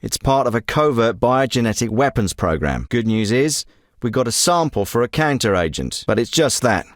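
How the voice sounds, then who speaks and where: A man's voice: mid-range voice, sing-song, normal speed, no room sound, studio quality, close to an everyday voice. A man voicing a curt covert ops handler. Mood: cold. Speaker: special forces handler. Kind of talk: game voice acting.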